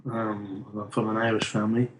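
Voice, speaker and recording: A man's voice: lowish voice, level tone, a little fast, rough recording. A middle-aged man from Scotland with a Scottish accent, a comic book writer chatting as an interview guest in a wry, joking way. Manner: chatty, wry storyteller with a dry joking humor. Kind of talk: casual interview chat.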